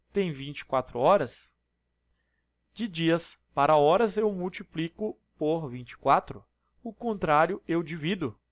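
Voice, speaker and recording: A man with a Brazilian accent works through exam problems one step at a time, often checking that the listener is following. His voice sits a little high. The recording is dry and close, with no room sound. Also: dry recording. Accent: Brazilian Portuguese. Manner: explanatory, step-by-step, frequent tag questions. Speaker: math teacher. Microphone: voice-over on screen recording.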